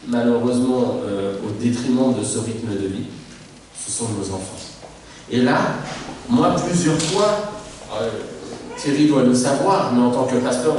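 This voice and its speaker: A man from Mauritius preaching a sermon as a pastor, lively, repeating phrases for emphasis and putting rhetorical questions to the congregation. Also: mid-range voice, slight background noise. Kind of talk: sermon on family life. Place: church. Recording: echoey church hall from far off.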